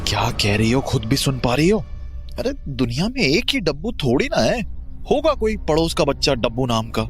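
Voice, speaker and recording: A man's voice: medium pitch, huge pitch swings, moderate pace, soft background music. A man playing a rational, dismissive husband in a horror audio drama, reasoning with mild exasperation. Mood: exasperated. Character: rational, dismissive husband calming his frightened wife.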